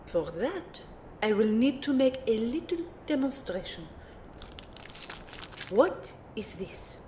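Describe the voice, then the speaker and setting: A woman's voice: sing-song, takes it slowly, mellow. A woman playing a refined, playful magic mentor in an audio drama, formal and precise as she teases and lectures. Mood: instructive. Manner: formal, precise, teacherly phrasing. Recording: roomy amateur recording, faint rumbling noise.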